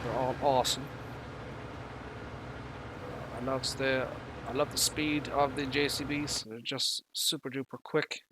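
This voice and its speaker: A man with a light Irish accent chats through a live game stream. His voice sits at a medium pitch, and he talks in a halting, meandering way with casual swearing. Clear vehicle and outdoor game noise runs behind his speech. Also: little pitch movement. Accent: mild Irish. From Ireland. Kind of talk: casual live gaming commentary.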